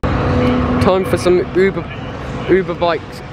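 A man's voice: high voice, little pitch movement, slow, over loud music. A young man vlogging casually on a handheld camera out in the city. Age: teens or young adult. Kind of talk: casual vlog talk to camera. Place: busy train station and city streets outdoors. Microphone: handheld camera or phone. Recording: very noisy outdoor city ambience, handheld camera.

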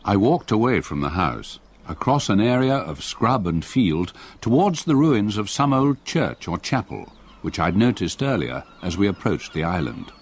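An actor playing an older English solicitor, gravely narrating a haunting memory in a radio play. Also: male voice, fairly low pitch, very animated, exaggerated voice. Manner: grave, measured storytelling. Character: haunted old English solicitor recalling past terror.

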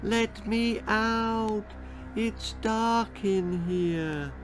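Tiny squeaky wooden dummy. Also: male voice, drawn-out words, soft background music. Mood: plaintive, pleading. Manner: plaintive, whimpering. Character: pint-sized living wooden dummy, plaintive and frightened.

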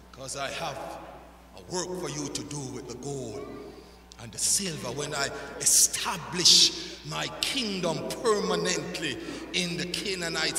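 Male preacher, middle-aged, very piercing and intense delivery, deliberate pace, impassioned sermon, echoey sanctuary. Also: a little high, vocal fry, pushed and bright. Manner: emphatic, dramatic pauses and repetition. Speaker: pastor preaching. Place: large church sanctuary, indoors. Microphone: pulpit microphone on a stand. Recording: echoey church hall, long reverb.

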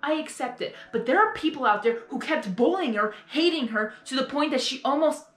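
A young adult woman giving casual reaction commentary in an earnest, halting, repetitive way. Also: high voice, animated. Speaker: reaction video host. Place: small bedroom, indoors. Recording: roomy bedroom echo.

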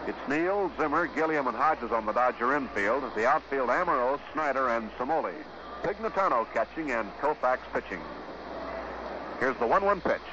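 A man calling baseball play-by-play in 1950s radio style, in measured, clipped phrases with pauses. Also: medium pitch, animated. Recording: noisy, dull vintage 1950s radio broadcast.

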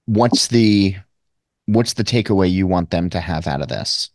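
A man asking an interview guest questions, relaxed and brief. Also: low-pitched, measured pace, no room sound, studio quality.

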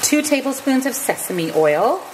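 A woman's voice: high-pitched, sing-song, a little slow, echoey room, background noise. A woman giving upbeat step-by-step meal prep instructions in her home kitchen. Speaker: cooking vlogger.

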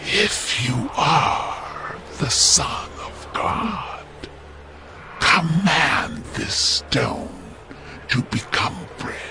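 Gravelly, slow, menacing devil. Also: male voice, fairly high pitch, sing-song, background noise, full character voice. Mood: taunting, menacing. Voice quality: gravelly, breathy whispers. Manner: drawn-out, sinister, grandiose. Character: the devil, slow menacing male tempter, proud. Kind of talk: dramatized audio Bible acting.

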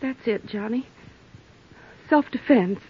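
A woman's voice: fairly high pitch, slow pace, slight background noise. An actress playing a wounded, bitter woman betrayed by her lover, intense and melodramatic in 1950s radio style. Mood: desperate confession. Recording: muffled old radio broadcast.

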